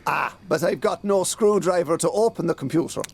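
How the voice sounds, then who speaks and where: A man as a high-pitched, creaky, pompous detective. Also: a little fast. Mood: confused, smug. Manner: pompous, theatrical, bewildered. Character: pompous, technophobic middle-aged male police detective inspector. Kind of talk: comic audio drama acting.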